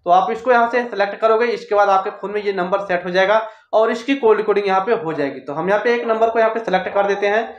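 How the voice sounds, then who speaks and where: A man's voice: sits high, quick pace, few pauses. A man gives a step-by-step phone tutorial in a repetitive, instructional way. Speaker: tech tutorial host. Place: small indoor office room. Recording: roomy echo, dull lo-fi sound.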